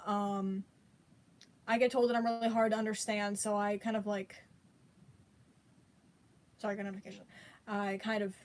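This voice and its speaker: A woman's voice: mid-range voice, level tone, normal speed, long pauses. A woman chatting casually to her viewers, rambling with many fillers and restarts. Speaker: vlogger.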